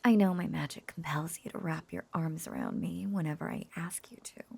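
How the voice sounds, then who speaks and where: A woman playing an obsessive, lovesick young witch who coos over a captive beloved, clingy and breathy with frequent whispers, in an intimate roleplay audio drama. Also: low-pitched, fairly quick, dark tone, no room sound, full character voice. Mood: wistful, possessive. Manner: cooing, clingy, breathy, often dropping into whispers.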